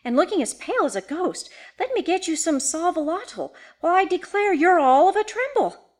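Woman acting a high-voiced, anxious old-time housekeeper. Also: expressive, moderate pace. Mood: fussing concern. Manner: exclamatory, folksy servant's speech. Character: ruddy older woman housekeeper, anxious, superstitious, deferential. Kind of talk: dramatised audiobook ghost story.

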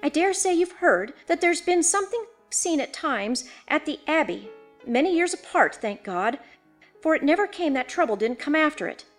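A woman's voice: high-pitched, sing-song, normal speed, soft background music, pitched above the performer's own voice. A woman voicing an anxious, superstitious housekeeper in a ghost story, deferential and exclamatory in a folksy servant's way. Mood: grave, awed. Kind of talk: dramatised audiobook ghost story.

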